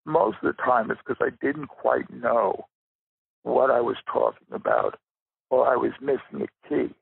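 An older man giving a reflective interview as a guest, with a gravelly, husky voice and deliberate, halting phrasing. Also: medium pitch, dry recording. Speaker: interview guest, writer and rare book dealer. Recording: thin phone-like line.

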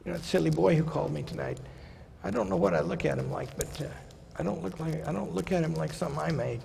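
A man in his sixties, a college president, gives a seminar lecture from a podium, conversational with wry asides and slowing for quotations. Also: fairly high pitch, gravelly, warm tone, a little hiss or hum. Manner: conversational, wry asides, slows down for quoted passages. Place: large lecture hall stage at a podium. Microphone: podium microphone. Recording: hall reverb.

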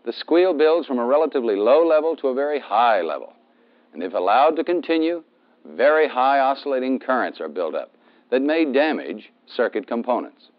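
A man's voice: mid-range voice, big pitch swings, moderate pace. An American man giving methodical instructional narration as a training film instructor, stressing key terms. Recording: dull, band-limited 1970s film soundtrack.

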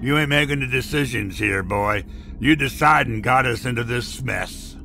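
A man's voice: medium pitch, normal speed, gravelly, bright, forceful tone, soft background music. A man playing a crude, threatening uncle who holds a gun on the people in front of him. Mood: menacing. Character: gruff menacing uncle holding a gun, hostile. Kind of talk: audio drama acting.

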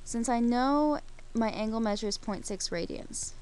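A woman's voice: on the high side, moderate pace, slight background noise. Woman explaining math step by step. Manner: steady, explains step by step while writing. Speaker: math teacher. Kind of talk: screencast math lesson.

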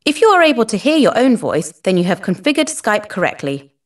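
A woman with a clear synthetic delivery, reading a scripted announcement as an automated call test service. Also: mid-range voice, sing-song, brisk, recorded from a distance, very noisy.

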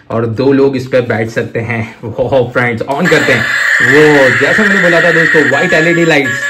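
A man's voice: mid-range voice, a bit bright. A man chatting casually as a toy review host, effusive, piling up superlatives, mixing in English words and addressing viewers as friends.

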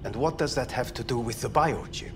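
A male actor plays a flustered corporate tech inventor in a video game. He sounds halting, uneasy and evasive, with a medium-pitched voice over clear ambient noise. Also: natural voice. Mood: uneasy, confused.

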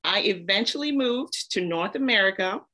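A woman's voice: mid-range voice, lively intonation, moderate pace, sharp tone, poor audio. A woman from Zambia, a podcast guest, telling her story casually and laughing often.

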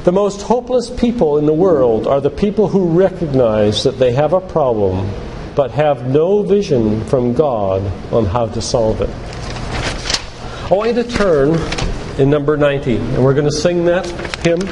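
An older man speaks like a lay preacher, telling stories and reading quotations deliberately and earnestly. His voice sits a little high for a man. It rings with long reverb in a church hall, with noise behind it. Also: lively intonation. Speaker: physician and lay preacher giving a church talk. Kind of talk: sermon-like lecture with read-out quotations. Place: church hall, live talk to congregation.

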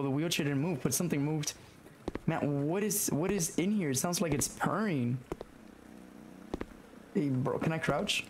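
A young man with a hoarse, raspy voice from being sick, talking through a horror game and reacting to scares. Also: mid-range voice, background noise. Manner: jumpy, swearing, talks to himself while playing. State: hoarse from illness. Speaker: gaming YouTuber. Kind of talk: horror game let's play commentary. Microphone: headset.

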